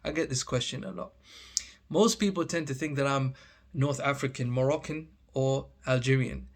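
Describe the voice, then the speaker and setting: A man's voice: mid-range voice, normal speed. A man in his thirties from Oman with a British accent, chatting casually to camera in a vlog, with many fillers and restarts. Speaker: vlogger.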